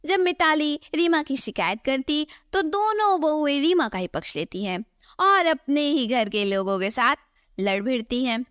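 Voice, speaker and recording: महिला कथावाचक, सूखी साफ़ स्टूडियो रिकॉर्डिंग. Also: female voice, high-pitched, expressive, moderate pace, fairly bright tone, no echo, studio quality. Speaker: storyteller. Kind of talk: scripted narration of a moral bedtime story.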